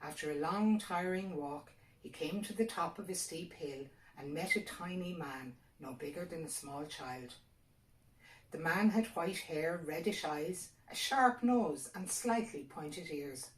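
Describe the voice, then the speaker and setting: Woman storyteller, Irish accent, lower register, scripted folktale read, roomy and off-mic. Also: a little slow. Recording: echoey room, distant mic.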